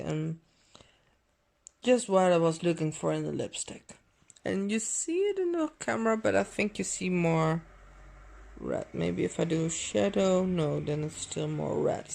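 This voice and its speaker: A woman's voice: low-pitched, gravelly, darkish tone. A woman filming a clothing haul at home, talking in a rambling, self-correcting way. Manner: rambling, self-correcting, non-native phrasing; deliberately overdone mixed accent. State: dry throat. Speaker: fashion vlogger. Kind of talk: casual unboxing haul chat. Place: home living room.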